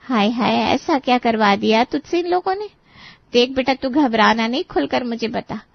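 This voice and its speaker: A woman's voice: fairly high pitch, animated, normal speed, vocal fry, no echo. A woman playing a dramatic, scolding mother. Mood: alarmed. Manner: theatrical, lecturing. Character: bride's middle-aged mother, dramatic and scolding. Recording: dry, slightly muffled studio voice.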